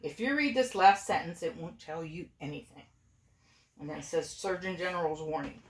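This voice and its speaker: A mother reads a storybook aloud in a playful, informal way. Her voice is very animated and moves freely up and down, recorded in an echoey room. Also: on the low side, moderate pace, slight background noise. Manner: playful, expressive, breaks off for asides. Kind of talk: informal storybook reading to family.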